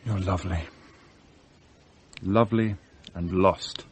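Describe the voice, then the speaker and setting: A man's voice: low-pitched, fairly even intonation, slow pace, breathy edge, full character voice. An actor playing a blunt, teasing, lovestruck young British man in a radio play, impulsive and questioning. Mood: tender, ardent.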